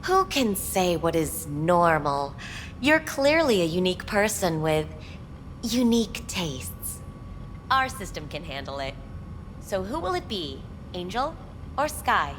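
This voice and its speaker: An unflappable female receptionist at a futuristic pleasure club in a video game. Her patter is formal and smooth with lively, swooping intonation. A clear rumbling noise sits behind the voice. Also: slow, heavily acted. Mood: polished, reassuring. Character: polished, unflappable female receptionist selling a futuristic club's services. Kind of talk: scripted video game acting. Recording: clearly noisy rumbling background.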